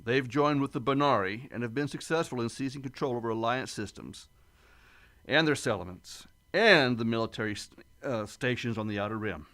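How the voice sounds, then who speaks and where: A man playing a steady starship captain in a sci-fi radio play, speaking with formal military authority. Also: medium pitch, moderate pace, close to an everyday voice. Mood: grave.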